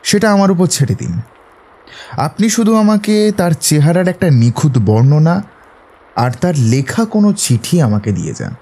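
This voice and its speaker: An actor playing a confident, analytical detective in an audio drama, speaking in a measured, instructive way. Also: male voice, on the high side, big pitch swings, dark tone. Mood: firm, reassuring. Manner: measured, authoritative, didactic.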